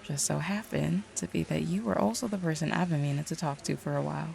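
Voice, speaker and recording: A woman's voice: deep voice, fast, creaky, darkish tone. A woman playing a confident, shrewd mayor and seer in an audio roleplay, with a direct, knowing, folksy manner. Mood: friendly, sly.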